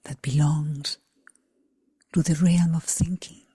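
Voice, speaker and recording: Hushed, very slow breathy woman guiding meditation. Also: sits low, steady tone, no room sound. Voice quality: breathy, husky, near whisper. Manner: hushed, unhurried, long pauses between short phrases. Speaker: meditation teacher. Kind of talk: guided meditation for a group.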